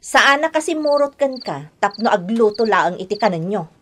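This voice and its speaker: A woman acting a lazy, scolding young wife and harsh aunt in a radio drama, sharp and complaining. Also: medium pitch, big pitch swings, normal speed, a little hiss or hum. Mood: defiant, complaining.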